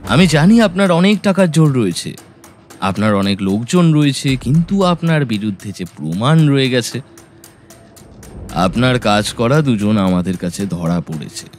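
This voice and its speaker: Male, shrewd and confident audio-drama detective, played by an actor: lively inflection, methodical accusing, music underneath. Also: mid-range voice, normal speed, natural voice. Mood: firm, confident. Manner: probing, methodical, accusatory explaining. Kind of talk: Bengali audio story acting.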